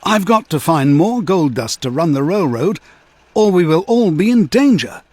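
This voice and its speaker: An anxious magical railroad conductor from a storybook, acted by a man. He speaks earnestly and dramatically, with big pitch swings and a slightly husky tone. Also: sing-song, normal speed, a little mellow, no echo. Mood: worried.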